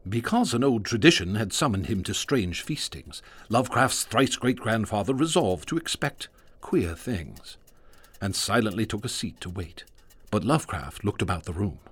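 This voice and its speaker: A man narrating a radio drama in a measured, ominous storytelling manner. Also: fairly low pitch, big pitch swings, breathy edge.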